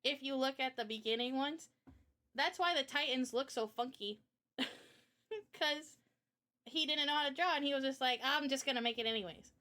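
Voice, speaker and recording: A woman streamer hosting a casual live chat, talking in an animated, opinionated way with rambling asides. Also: high-pitched, moderate pace, long pauses. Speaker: streamer and host.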